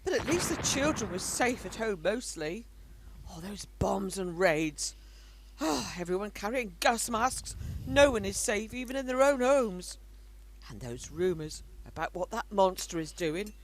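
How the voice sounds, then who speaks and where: A woman's voice: medium pitch, very animated, unhurried, low-quality recording. An actress playing an anxious, caring older mother and grandmother in an amateur wartime radio drama, earnest and motherly. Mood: fearful.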